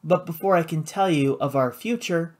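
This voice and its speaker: A man voicing an elegant, cold woman who runs a casino, speaking in a poised, measured, formal way. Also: a little high, voice pushed up, natural voice. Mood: icy, commanding.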